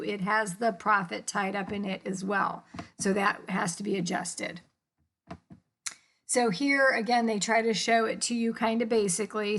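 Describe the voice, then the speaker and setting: American woman lecturing with a creaky voice. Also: medium pitch, a bit bright. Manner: colloquial, explanatory, with casual contractions and check-ins. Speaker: accounting instructor. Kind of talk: informal lecture explaining slides.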